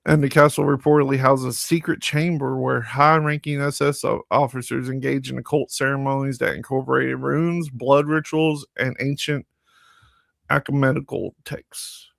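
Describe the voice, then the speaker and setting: A man reading his notes aloud on a podcast. His voice has a gravelly fry running through it, and the recording is close and dry. He trips over a word now and then and keeps going without fuss. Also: medium pitch, flat delivery, normal speed, fairly bright tone, dry recording. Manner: stumbling over words, laughs at himself. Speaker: podcast co-host. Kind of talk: casual chat reading from notes.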